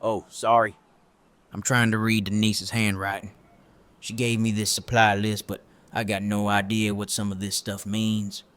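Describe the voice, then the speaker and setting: An actor playing a gruff, plain-spoken male survivor in an audio drama, with a blunt, folksy manner. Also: lowish voice, flat delivery, unhurried, fairly bright tone. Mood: puzzled.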